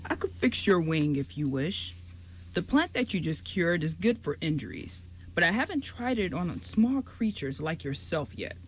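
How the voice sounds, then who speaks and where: A woman playing a gentle, caring old male giant in an amateur radio drama. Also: lowish voice, very animated, moderate pace, husky. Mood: caring, measured.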